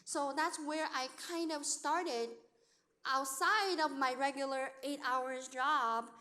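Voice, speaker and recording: High-voiced Chinese-native woman telling stories. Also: takes it slowly. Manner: lively storyteller who acts out quoted speech, in non-native grammar. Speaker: accountant and business owner speaking to an audience. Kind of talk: personal storytelling talk.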